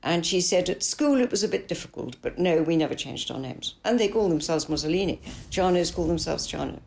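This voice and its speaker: An older woman author answering interview questions in a measured, thoughtful and frank way. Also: fairly low pitch, expressive, creaky, darkish tone. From Italy. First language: English. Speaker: author and biographer.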